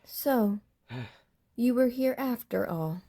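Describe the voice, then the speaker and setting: A woman's voice: fairly high pitch, expressive, slow, noisy background. A woman voicing a protective, reproachful older sister from a tribe, pleading and scolding in a dramatic manga dub. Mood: firm, pleading.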